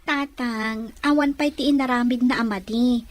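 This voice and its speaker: An earnest, loyal young woman in love, as an actress plays her in a radio drama. Her voice is distinctly high, with sincere dramatic delivery. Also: steady tone, moderate pace, lightly acted. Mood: pleading. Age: young adult. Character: sincere, loyal young sweetheart, upset but trusting.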